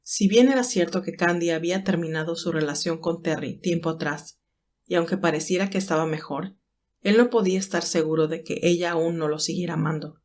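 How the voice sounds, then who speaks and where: A woman's voice: lowish voice, a little fast, darkish tone. A woman reading scripted, dramatic narration of a romantic story as its narrator.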